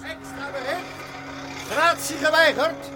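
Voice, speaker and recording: Shrill, drawn-out newspaper crier. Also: male voice, fairly even intonation, heavily acted. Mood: urgent hawking. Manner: drawn-out, insistent hawking shouts. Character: shrill street newspaper seller crying extra editions. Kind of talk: radio drama acting. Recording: street crowd and music behind, distant.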